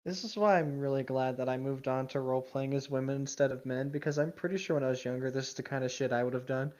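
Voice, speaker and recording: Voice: mid-range voice, fairly even intonation, fast, darkish tone. A wry co-host chats casually and reads fan fiction aloud, tossing in jokes. The sound is slightly dull and lo-fi. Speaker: podcast co-host.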